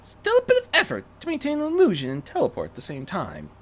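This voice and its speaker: A man's voice: sits high, wildly swooping pitch, quick pace, fairly bright tone, voice pushed up, full character voice. A man voicing a young female unicorn vigilante, refined, earnest and eager, in a story reading. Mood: pleased, explaining.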